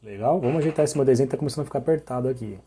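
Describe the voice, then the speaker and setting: Hoarse man teaching music intervals. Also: mid-range voice, racing, mellow. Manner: sings interval notes to show them; checks in often with short questions. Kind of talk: sung and spoken music lesson.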